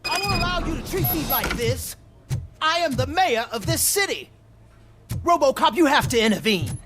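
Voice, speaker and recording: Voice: high-pitched, very animated, normal speed, a bit bright, a little hiss or hum. An actor playing an indignant, self-important city mayor in a video game, pompous and outraged.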